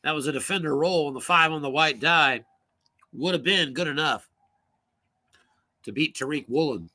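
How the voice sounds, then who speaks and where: A man calling solo play-by-play for a tabletop football game, brisk and rising on big plays, losing his voice and coughing now and then. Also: fairly high pitch, bright, forceful tone.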